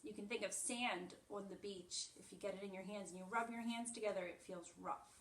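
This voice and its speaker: A woman's voice: medium pitch, steady tone, fairly quick, a bit bright, a little hiss or hum. A woman preschool teacher explaining simply and reading a story aloud for young children. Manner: simple, explanatory, demonstrative phrasing for small children. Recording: echoey room, distant microphone.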